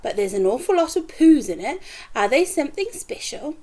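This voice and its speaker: A woman puts on a trusting storybook lady, puzzled and eager. The voice is high and lilts widely, full of wonder and questions. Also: sing-song, moderate pace, dark tone. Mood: impressed, puzzled.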